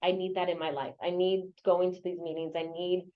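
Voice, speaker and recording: A woman's voice: lowish voice, flat delivery, brisk, phone-quality sound. A woman from El Paso, an agent who leads a local association, answering interview questions in an earnest way that is full of "you know" fillers. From El Paso, Texas. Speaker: real estate agent and local association president. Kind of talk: interview guest, casual conversation. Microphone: video or phone call.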